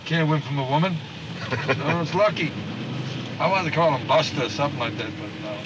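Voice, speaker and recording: A man's voice: fairly high pitch, brisk. A man being interviewed, chatting in a jocular way. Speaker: street interviewee. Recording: noisy 1950s street tape.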